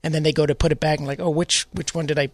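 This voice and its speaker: A middle-aged man from New York co-hosting a garden talk show, explaining in a measured, careful way with small restarts. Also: fairly high pitch, flat delivery, no echo. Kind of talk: casual radio chat.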